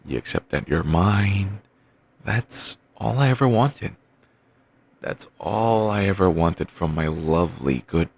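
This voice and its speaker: A man voicing an obsessive, doting young boyfriend, rambling softly in a bedtime comfort roleplay. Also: low voice, vocal fry, slightly rough, exaggerated voice. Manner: soft, rambling, affectionate murmuring.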